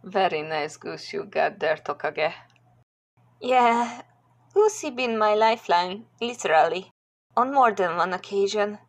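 Slow-talking woman dubbing a comic. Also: medium pitch. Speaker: comic dubber voicing several characters. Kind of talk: amateur comic dub.

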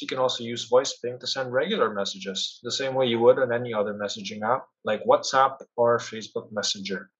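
A man with a slightly husky voice walks through a software demo. He explains things step by step in an instructional way at a medium pitch. The recording is dull and lo-fi. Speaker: product demonstrator. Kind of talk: software tutorial narration.

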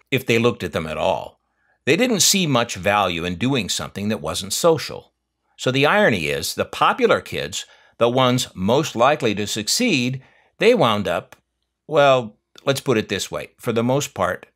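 A man's voice: mid-range voice, fairly bright tone. An older man reminiscing casually in a wry, folksy storytelling manner, drawing out phrases for emphasis. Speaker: narrator, vintage electronics collector. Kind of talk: casual reminiscing narration over catalog pages.

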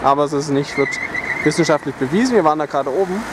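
A man giving casual, halting travel narration outdoors into a handheld camera. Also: on the high side. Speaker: travel vlogger. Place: outdoors by streets. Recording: very noisy outdoor camera sound, traffic. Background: loud outdoor and car noise.

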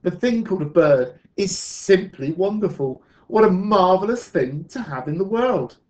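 A storyteller voicing excitable, wide-eyed children in a storybook read aloud. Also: mid-range voice, unhurried, pitched above the performer's own voice. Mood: amazed delight. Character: excitable, giggly children marvelling and doubting in a storybook.

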